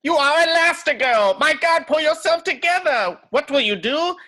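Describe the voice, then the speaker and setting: A woman's voice: high-pitched, lively intonation, moderate pace, bright, forceful tone. A woman playing an imperious, theatrical older fashion designer in an amateur table read. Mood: bossy, rousing.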